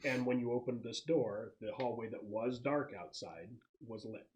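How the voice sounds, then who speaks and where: A man running a tabletop game as game master, narrating casually in a halting, conversational way. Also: medium pitch, darkish tone. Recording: noisy room recording with clicks.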